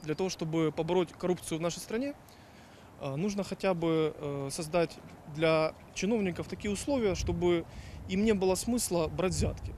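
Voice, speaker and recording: A man explains his opinion to a street interviewer step by step, pausing on fillers. His voice is a little high, and faint traffic hums in the background. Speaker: passerby. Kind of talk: street vox pop.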